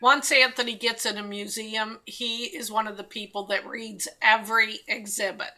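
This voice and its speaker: A woman's voice: on the high side, flat delivery, normal speed, pushed and bright. Chatty woman with amused asides. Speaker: guest, co-presenter. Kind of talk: casual chat.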